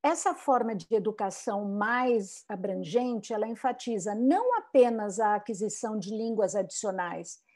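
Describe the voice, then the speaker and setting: A woman's voice: mid-range voice, expressive, normal speed, few pauses, darkish tone. Reflective woman lecturer. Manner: reflective, asks rhetorical questions; frequent "né?" tags and restarts. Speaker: bilingual education speaker. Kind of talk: online lecture talk.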